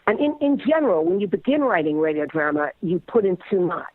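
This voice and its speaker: A woman being interviewed as a writer, explaining her craft conversationally and deliberately, pausing mid-thought. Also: lowish voice, sing-song, no echo. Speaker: interview guest, audio playwright. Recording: narrow phone-like band.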